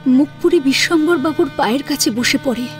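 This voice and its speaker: A female narrator tells a dramatic audio story in a high voice. Faint music runs underneath her scripted reading. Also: a little fast.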